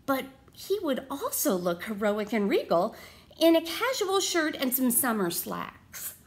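Animated, high-pitched woman reading a picture book. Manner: deliberate, theatrical emphasis, asks the listeners questions. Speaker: read-aloud storyteller. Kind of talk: expressive picture-book read-aloud for children. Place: small room at home.

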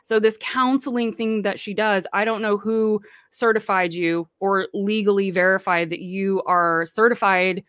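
A woman with a self-described deep voice, speaking as a guest on a livestream panel and calling out critics with passion. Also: fairly even intonation, measured pace, few pauses, clean tone, no room sound, studio quality. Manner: impassioned, speaks out forcefully.

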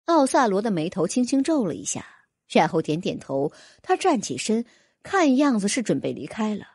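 A woman's voice: mid-range voice, sing-song, normal speed, a little mellow, husky, no echo, studio quality. A woman narrating a fantasy audiobook, reading a scripted story in a dramatic storytelling style.

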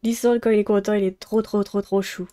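A woman's voice: medium pitch, fairly even intonation, a little fast, warm tone, clean tone. A young woman, casually commenting on a game and reading its text aloud. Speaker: streamer playing a visual novel.

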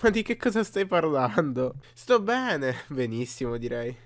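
A man's voice: medium pitch, sing-song, a little slow. A man voicing a troubled, defensive cartoon teenage boy in an amateur fan dub, swinging from forced cheer to desperate outbursts.

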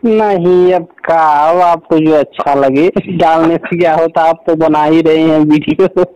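A man's voice: fairly high pitch, fairly even intonation, unhurried, warm tone, clean tone, no echo. A man with an eastern Uttar Pradesh accent chatting casually as an interviewee on a lo-fi phone call. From Prayagraj area, northern India. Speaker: interviewee, field vaccinator. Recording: dull lo-fi recorded phone call.

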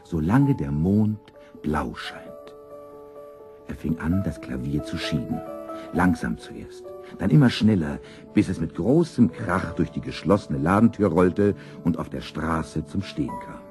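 A man narrating a scripted children's story as an expressive storyteller. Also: low-pitched, moderate pace, over music.

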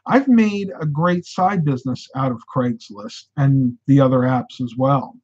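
Animated man, slightly hoarse. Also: mid-range voice, unhurried. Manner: animated, gestures with hands while talking. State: getting hoarse. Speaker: host of a live stream. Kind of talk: casual live-stream advice chat. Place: home room with shelves. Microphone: webcam at desk. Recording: slightly dull lo-fi webcam sound.

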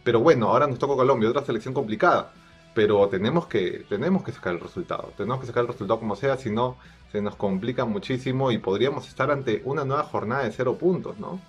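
A man with a Peruvian accent giving informal football analysis to camera, measured and a little hesitant, repeating phrases. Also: mid-range voice, breathy edge. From Peru. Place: plain indoor room. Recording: dull lo-fi sound. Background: music.

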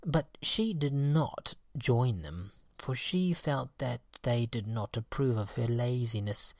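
Very low-voiced woman reading a story. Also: lively intonation, slow, a little mellow, no echo. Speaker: storybook reader. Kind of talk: scripted children's story narration.

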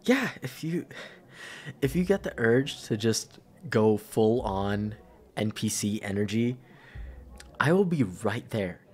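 A young man playing a caring, teasing, shy best friend in an intimate ASMR roleplay, speaking softly and hesitantly. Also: fairly low pitch, very animated, slow, exaggerated voice. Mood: gently reassuring. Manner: soft, hesitant, stammering, breathy sighs and chuckles.

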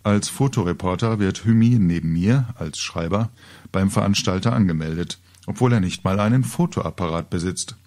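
A man's voice: low voice, moderate pace, darkish tone. A middle-aged man reading a scripted humorous story in a wry, colourful storytelling manner. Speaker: author reading own story. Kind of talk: scripted humorous narration, audio-book reading.

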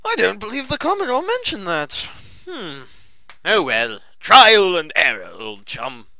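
A man playing a jaunty, self-important gentleman explorer in a comedic radio drama, with genteel, blustering politeness. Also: high-pitched, wildly swooping pitch, measured pace, full character voice. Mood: breezy, flustered.

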